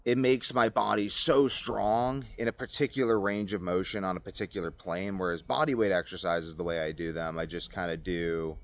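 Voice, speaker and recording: Low-voiced man, casual rambling vlog talk. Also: steady tone, normal speed, few pauses. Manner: informal, loosely rambling, frequent "like". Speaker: vlogger.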